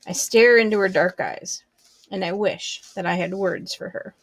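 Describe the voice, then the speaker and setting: A woman reading a children's book aloud expressively, with deliberate, measured phrasing. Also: mid-range voice, husky, no room sound, low-quality recording. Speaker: storybook reader.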